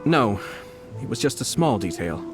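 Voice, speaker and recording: Brief: actor as a poised, shrewd young lord, polite and measured, mid-pitched, music playing underneath. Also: male voice, lightly acted. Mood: calm. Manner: calm, measured, courteous formal speech. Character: composed young male noble commissioner, shrewd and polite. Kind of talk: game cutscene voice acting. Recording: dialogue over music score. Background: orchestral game music.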